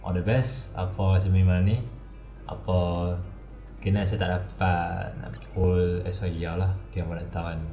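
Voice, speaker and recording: A man's voice: sits low, flat delivery, moderate pace. A man with a northern Malaysian accent chatting casually on a game live stream, rambling and repeating himself with English phrases mixed in. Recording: muffled, roomy mic under loud music. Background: music.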